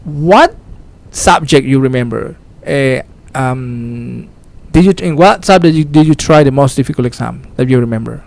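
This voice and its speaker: Man, radio host, gravelly voice, casual halting chat. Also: medium pitch, a little mellow. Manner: friendly, laughs often, halting English. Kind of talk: casual on-air chat in English.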